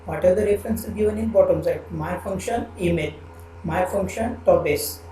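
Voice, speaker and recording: A man giving a programming lecture, explaining step by step with halting phrasing and repeated fillers. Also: high voice, mellow, faint music behind. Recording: echoey room with background noise.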